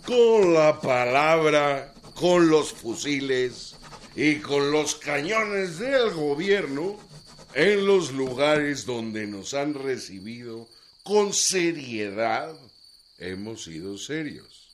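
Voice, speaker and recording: An actor playing a stern, self-righteous army general in a radio drama, declaiming with emphatic pauses. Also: male voice, fairly high pitch, big pitch swings, a little hiss or hum, full character voice. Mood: stern, proud.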